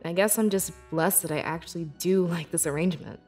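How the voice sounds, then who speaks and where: A woman playing a young, devoted beast-folk scribe in a fantasy video game, with earnest, measured and expressive delivery. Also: fairly low pitch, faint music behind, close to an everyday voice. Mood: reflective, sincere. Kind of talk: scripted video game character dialogue.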